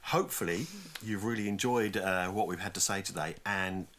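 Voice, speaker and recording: A man's voice: sits low, normal speed, a bit bright, a little hiss or hum. A man hosting a podcast in a casual, conversational style. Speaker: podcast co-host.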